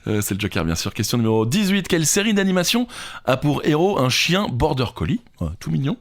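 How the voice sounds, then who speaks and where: A man's voice: fairly high pitch, big pitch swings, brisk. A man co-hosting a quiz podcast in a casual, light-hearted, joking style. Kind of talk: casual comedic quiz banter.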